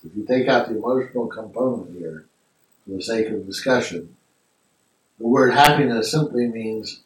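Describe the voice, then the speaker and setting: A man leading a philosophy class discussion, thinking aloud in a reflective way with some restarts. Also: medium pitch. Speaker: discussion group leader. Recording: echoey room, mic far off.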